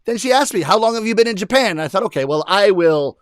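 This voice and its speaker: Casting note: animated comedian, male, high voice, dry close sound, bursts into put-on shouts. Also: fast, clean tone, dry recording. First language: English. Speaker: rakugo comedian. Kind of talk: stand-up storytelling to an audience.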